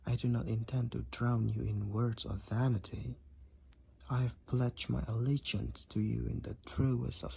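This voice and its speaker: A man voicing a devoted, overprotective vampire boyfriend in an intimate audio roleplay, with courtly, archaic, tender phrasing and a breathy, husky voice. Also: mid-range voice, darkish tone, a little hiss or hum. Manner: archaic, formal, tender, slow and deliberate wording.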